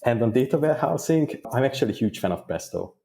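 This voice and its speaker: A man answering interview questions as a guest, in a thoughtful, measured way with small restarts. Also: medium pitch, lively intonation, gravelly, mellow. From Hungary. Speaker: guest data engineer.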